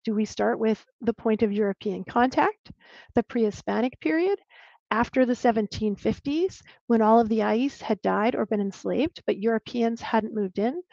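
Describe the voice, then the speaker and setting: A woman archaeologist giving a slide talk over a video call, explaining clearly and sometimes restarting a word. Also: a little high, moderate pace, a little mellow, dry recording. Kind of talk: presenting a lecture with slides. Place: home room on a video call. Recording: dull, lo-fi dry video call audio.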